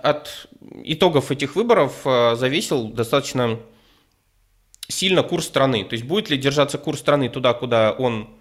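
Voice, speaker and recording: A man talks through his story as a guest. He hesitates often, fills pauses, restarts phrases and picks his words with care. His pitch sits in a middle range. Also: expressive. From Ukraine. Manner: hesitant, with many fillers and restarts, careful wording. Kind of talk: casual conversational storytelling.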